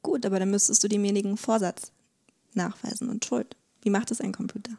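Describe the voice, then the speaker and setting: A woman's voice: mid-range voice, steady tone, brisk, creaky, dark tone. A woman explaining as an expert guest, hesitantly searching for her words. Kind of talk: explanatory conversation.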